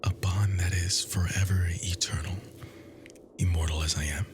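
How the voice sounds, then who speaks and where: A man voicing an ancient, possessive immortal lover in an ASMR roleplay, hushed, breathy and lingering. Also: low voice, fairly even intonation, moderate pace, music in the background, voice pushed down, close to an everyday voice. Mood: tender obsession.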